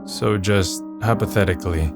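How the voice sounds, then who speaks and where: An actor playing a wry, introspective young college student who narrates and speaks as the lead in a manga drama dub, understated and reflective. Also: male voice, lowish voice, normal speed, close to an everyday voice. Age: young adult. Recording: music under the voice.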